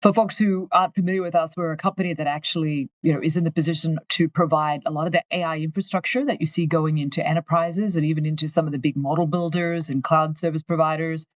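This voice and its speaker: A woman's voice: low voice, quick pace, few pauses. An Australian woman talks candidly and with energy as an interview guest. Her voice comes through a dull, band-limited remote line with almost no room echo. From far north Queensland, Australia. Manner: candid, lively storytelling. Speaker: guest, company finance chief. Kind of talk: conversational interview.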